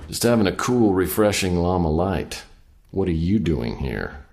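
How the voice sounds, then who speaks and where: An actor playing a wisecracking, world-weary private eye, narrating a noir radio drama in a deadpan, sardonic way. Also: male voice, sits low, unhurried, creaky, noisy background, exaggerated voice. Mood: cool wisecracking. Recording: echoey long reverb, music behind.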